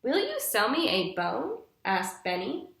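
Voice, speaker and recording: A young woman reads a storybook to children in a teacher's instructive way. Her pitch moves up and down a lot, and she takes her time over each phrase. The sound is echoey, recorded in a room a little way from the microphone. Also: wildly swooping pitch, measured pace, creaky, a bit bright. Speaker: teacher reading aloud to pupils. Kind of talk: picture-book read-aloud for young children. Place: small living room, indoors. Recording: roomy indoor echo.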